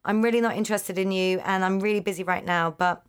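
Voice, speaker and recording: A woman's voice: mid-range voice, fast talker, clean tone. A woman with a slight lisp tells a story in a chatty podcast. Her voice sits in a middle register and she quotes messages as she goes. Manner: animated storytelling, quoting texts. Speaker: podcast co-host. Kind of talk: casual chat. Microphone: studio mic with pop shield.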